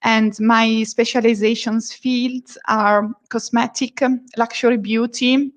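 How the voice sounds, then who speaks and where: Italian-accented woman guest, slow and a bit high, hesitant with fillers, giggly, speaking over a video call. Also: flat delivery. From Italy. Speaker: freelance translator, guest. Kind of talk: casual interview answers.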